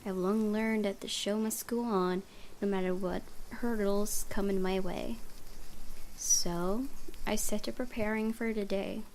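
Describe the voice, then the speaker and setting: A woman reading visual novel narration aloud for a let's play, with expressive, theatrical storytelling. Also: mid-range voice, measured pace, slight background noise. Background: music.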